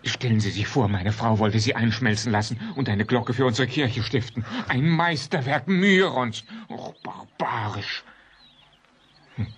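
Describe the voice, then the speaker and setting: An actor playing a proud, effusive provincial antiquarian in middle age, florid and courteous, in a German radio play. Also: male voice, medium pitch, a little slow, husky. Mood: proud, excited.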